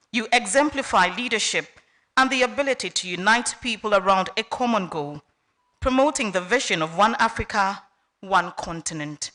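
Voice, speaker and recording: Bright-voiced woman reading a formal citation. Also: on the high side. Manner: measured, deliberate list-by-list delivery. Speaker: ceremony orator reading a citation. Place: university lecture hall.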